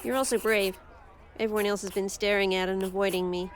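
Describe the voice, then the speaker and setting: A woman's voice: medium pitch, fairly even intonation, brisk, lightly acted. A woman playing a bold, carefree outsider girl in an audio drama, speaking in a blunt, confident, casual way. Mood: friendly.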